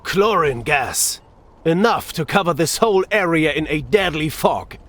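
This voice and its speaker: A deferential soldier in a game cutscene, reporting to a superior. The voice is high for a man, excitable and uneasy. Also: unhurried.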